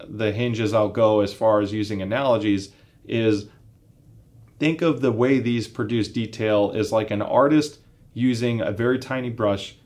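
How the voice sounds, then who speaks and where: A man of about forty speaks in a fairly low voice. He explains things conversationally, like a reviewer walking a viewer through equipment in casual terms. He is seated indoors and talks in an informal, explanatory style. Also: a little mellow. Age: 30s to 40s. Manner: conversational, explanatory, uses analogies. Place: indoor home listening room, seated.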